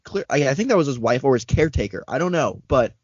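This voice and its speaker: A young man in casual podcast chat, joking and laughing through quick riffs. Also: medium pitch, animated, slightly rough, no echo. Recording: dull lo-fi dry recording.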